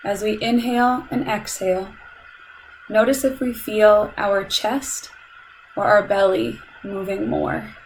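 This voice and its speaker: A woman leading a guided meditation in a calm, soothing, measured way, leaving long pauses between instructions. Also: mid-range voice, a little hiss or hum, low-quality recording.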